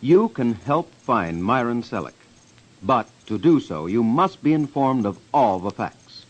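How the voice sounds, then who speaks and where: A man's voice: medium pitch, big pitch swings, measured pace. An American man hosting a vintage radio crime show, making urgent, emphatic, dramatic appeals to listeners. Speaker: radio host and investigator. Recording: dull vintage radio, music underneath.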